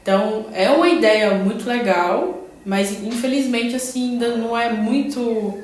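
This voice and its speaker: A woman's voice: fairly high pitch, a little slow, darkish tone. A woman from Brazil, a teacher, speaking casually in a podcast conversation, often restarting and adding tag questions. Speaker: Portuguese heritage-language teacher in Germany. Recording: very echoey room, faint noise.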